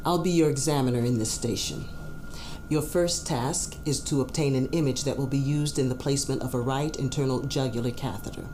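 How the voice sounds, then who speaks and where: A woman's voice: low voice, dark tone, a little hiss or hum, lightly acted. A woman playing a formal, courteous doctor giving instructions as an examiner. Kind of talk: scripted exam demonstration.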